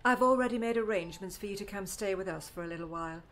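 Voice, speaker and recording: Woman doing a fussy emotional mom in an audiobook drama, doting, middle aged, mid pitch. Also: fast talker, fairly bright tone, natural voice. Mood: fussing. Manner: fussing, emotional. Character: fretful, doting middle-aged mother of an adult daughter.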